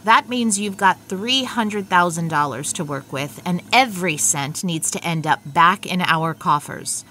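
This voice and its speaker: A prim, budget-minded agent, as a woman acts her out, measured and formal, with a bright, edged tone that sits a little low, in an audio comedy drama. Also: slight background noise. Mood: dry.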